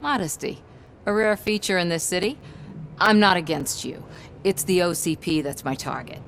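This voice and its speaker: A woman's voice: on the low side, normal speed, a bit bright, a little hiss or hum. A woman playing a persistent, self-assured television reporter in a video game, speaking in a probing and persuasive way. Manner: smooth-talking, probing, persuasive. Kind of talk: video game cutscene acting.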